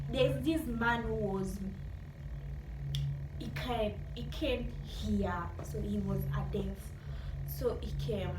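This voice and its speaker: Kenyan female vlogger, high voice, casual animated storyteller who mixes two languages, heard over clear background noise. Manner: animated, acts out remembered dialogue, switches between two languages. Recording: roomy echo, distant mic, noisy background.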